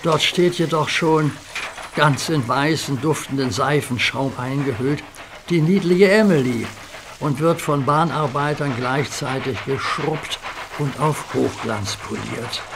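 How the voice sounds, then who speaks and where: A man narrates a children's story with calm, vivid delivery. He speaks slowly at a mid pitch. A steady hiss sits under the recording.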